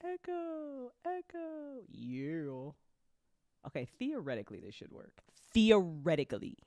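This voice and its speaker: Chatty woman, clear dry voice. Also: mid-range voice, big pitch swings, unhurried, mellow, no echo. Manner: chatty, informal, swearing, repeats phrases for emphasis. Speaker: host of an audio drama after show. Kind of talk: casual live stream chat. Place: close indoor mic on a live stream.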